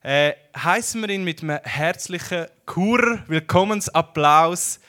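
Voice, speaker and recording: A man's voice: a little high, lively intonation, measured pace, sharp tone. A man hosting a church service on stage, upbeat and hyping the crowd. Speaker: church host. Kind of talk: stage announcement. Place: large church hall on stage.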